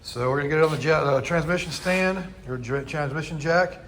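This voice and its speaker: A man working in a metal shop, explaining each repair step aloud in a casual, rambling way with lots of fillers and speaking up loudly. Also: on the high side, fairly quick, a little hiss or hum. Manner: speaks up loudly over the rain. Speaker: mechanic and channel host. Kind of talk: casual garage how-to narration. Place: metal shop building during rain. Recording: loud rain drumming on a metal roof.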